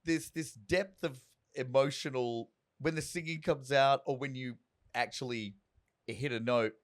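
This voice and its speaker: A man's voice: medium pitch, steady tone, measured pace, no room sound, clean studio sound. A man hosting a podcast, rambling and searching for words as he talks with his guest. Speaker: podcast host. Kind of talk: casual interview chat.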